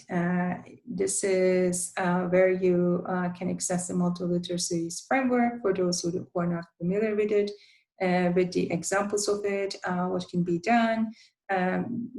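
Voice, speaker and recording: A woman presenting a design guide, a native Turkish speaker who talks in a halting way with many fillers and restarts. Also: fairly low pitch, little pitch movement, a little mellow. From Turkey. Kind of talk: conference presentation.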